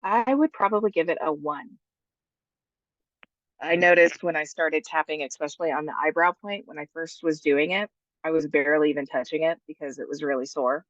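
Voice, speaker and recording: A woman's voice: deep voice, normal speed, no room sound. A congested woman speaking as a client, hesitant and reflective as she describes her feelings. Her audio sounds dull and dry, as if coming over a remote connection.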